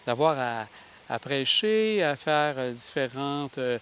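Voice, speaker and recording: A man's voice: mid-range voice, expressive, slow pace, fairly bright tone, slight background noise. A man interviewing a priest for local television, asking long questions that stumble and restart.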